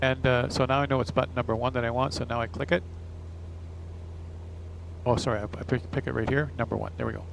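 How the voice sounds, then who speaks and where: Casual male demonstrator, chatty and explanatory, mid-pitched with noticeable fry, faint noise behind. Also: fairly even intonation, fast, long pauses, poor audio. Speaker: product demonstrator, pilot. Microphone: headset and lapel mic. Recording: faint background noise, headset and lapel mics.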